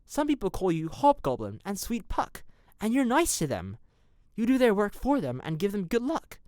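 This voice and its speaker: A woman's voice: medium pitch, wildly swooping pitch, normal speed, a little mellow, dry recording, clean studio sound. A woman playing a cheeky, inquisitive fairy servant in an audio drama, with a teasing, theatrical delivery. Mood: curious, teasing. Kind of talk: modern-English audio drama acting.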